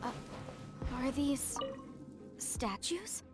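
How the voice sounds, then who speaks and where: High-voiced, earnest female archaeologist. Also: expressive, very slow, loud music behind the voice, exaggerated voice. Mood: uneasy. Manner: earnest, emphatic. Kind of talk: scripted video game voice acting.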